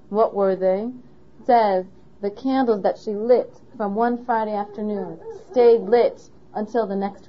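Woman doing a torah class, kinda high voice, muffled lo-fi sound. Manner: asks the listener questions, patient. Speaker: Torah teacher. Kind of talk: explaining a Torah lesson. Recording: dull lo-fi recording.

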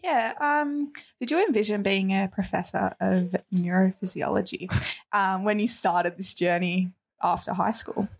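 A woman's voice: mid-range voice, a little slow, creaky. A young woman with an Australian accent, asking questions as co-host of a radio interview.

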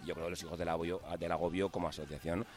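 A man's voice: low voice, level tone, rapid-fire, a little mellow. A man with a Madrid Spanish accent, chatting informally and jokingly as a musician in an interview. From Spain, Madrid. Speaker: musician, band member interviewed. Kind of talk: casual interview chat.

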